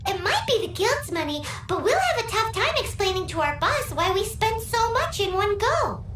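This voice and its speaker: A woman voicing a tiny, excitable female companion sprite in a game, with a high, chirpy voice and a blunt, cheeky way of bargaining. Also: normal speed. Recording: roomy game audio over music.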